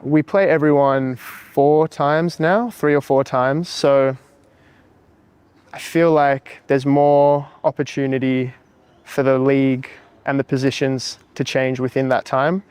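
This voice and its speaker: A man, a futsal player, answering interview questions in a measured way with many pauses and ums. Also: medium pitch, steady tone, a little mellow, clean tone, dry recording.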